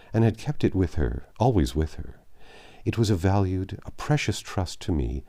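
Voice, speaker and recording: A man voicing a grave, sorrowful middle-aged English gentleman in a classic novel, speaking formally and earnestly, with some halting. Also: low-pitched. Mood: troubled, halting. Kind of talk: dramatic audiobook reading of classic novel.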